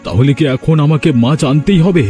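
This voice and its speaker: A man's voice: fairly high pitch, lively intonation, brisk, dark tone, soft background music, close to an everyday voice. A man voicing a concerned, coaxing village husband in a cartoon folk tale. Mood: placating.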